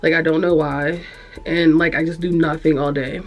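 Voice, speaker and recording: A woman's voice: deep voice, level tone, moderate pace, lo-fi sound, faint music behind. A young woman with a congested, nasal voice, rambling frankly to camera in a room at home, easily distracted and cursing. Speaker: vlogger. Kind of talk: casual chat to camera.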